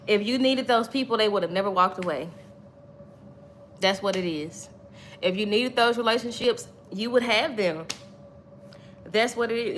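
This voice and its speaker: A woman's voice: medium pitch, a bit bright, a little hiss or hum. A woman in her thirties giving an emphatic, repetitive faith-based motivational talk to livestream viewers. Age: 30s. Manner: preachy, emphatic, repeats points, chats with viewers. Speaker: livestream host.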